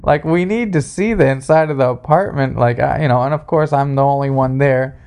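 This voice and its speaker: Gravelly man telling a casual anecdote. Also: mid-range voice, darkish tone. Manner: sarcastic, halting storytelling. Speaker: podcast host. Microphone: handheld recorder, spoken into closely.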